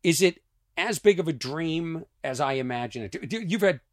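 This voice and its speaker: A man from Massachusetts hosting a podcast and telling comic stories about himself in a loose, animated way. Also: fairly high pitch, moderate pace, no echo, clean studio sound. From Lexington, Massachusetts. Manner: animated, self-mocking storyteller. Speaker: podcast host. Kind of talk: casual comedic chat.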